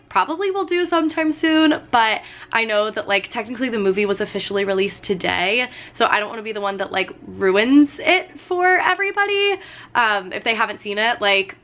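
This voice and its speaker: A woman in her twenties chatting casually while doing her makeup, full of "like" and "um", her voice slightly hoarse from getting sick. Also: on the high side, pushed and bright. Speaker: vlogger. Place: sitting on a fur rug at home.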